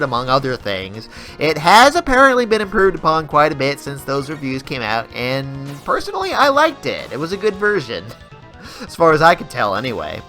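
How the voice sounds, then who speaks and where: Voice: medium pitch, bright, forceful tone, clean tone. A gamer giving a rambling, casual update in a self-deprecating way. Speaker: video game Let's Player.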